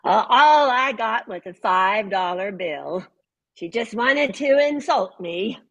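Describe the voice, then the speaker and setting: A woman's voice: medium pitch, expressive, slow pace, a bit bright, muffled recording, exaggerated voice. A woman voicing a grasping, suspicious older woman who complains that everyone cheats her, read over a video call. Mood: aggrieved. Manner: complaining, aggrieved. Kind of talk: Zoom play reading.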